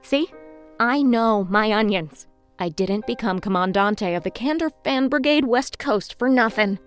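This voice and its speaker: A woman's voice: fairly high pitch, a little slow, smooth, soft background music, lightly acted. A woman playing a self-important, pedantic fan club leader being interviewed in a mock documentary. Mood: proud. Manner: pompous, corrective, certain.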